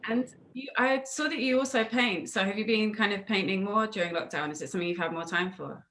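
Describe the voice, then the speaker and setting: A woman's voice: mid-range voice, brisk, fairly bright tone, a little hiss or hum, rough recording. A woman hosting an interview in a casual, chatty style. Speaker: interviewer, host. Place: remote video call.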